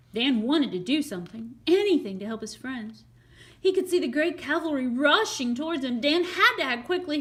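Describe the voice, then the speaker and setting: A woman reading a children's picture book aloud as an expressive, dramatic storyteller. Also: high voice.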